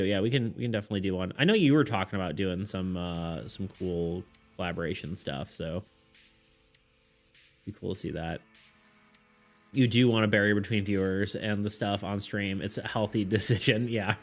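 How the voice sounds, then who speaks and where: A man chatting casually with his viewers on a live stream, rambling, restarting his sentences and laughing often. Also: fairly low pitch. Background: faint background music.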